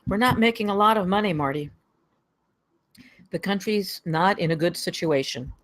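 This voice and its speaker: A man's voice: high voice, unhurried, a bit bright. Man playing a weary, apologetic executive. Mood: apologetic, heavy. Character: weary apologetic middle-aged male studio executive named Card. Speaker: actor at a live table read. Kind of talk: table read of a screenplay.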